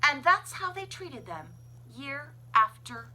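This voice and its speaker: A woman's voice: high-pitched, sing-song, slow, bright, forceful tone, a little hiss or hum. A woman reading a rhyming children's story aloud with dramatic, playful emphasis. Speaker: children's library story reader. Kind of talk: expressive read-aloud storytelling in rhyme.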